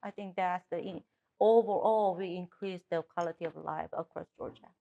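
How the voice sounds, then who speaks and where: Korean-accented woman, halting speech. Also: lowish voice, fairly even intonation, creaky, phone-quality sound. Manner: halting, with frequent restarts and fillers. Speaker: political candidate. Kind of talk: interview answers.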